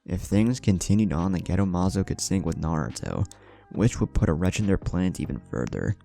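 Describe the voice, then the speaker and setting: Deep, gravelly male story narrator. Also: lively intonation, fairly quick, mellow, soft background music. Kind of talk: scripted story narration, dramatic.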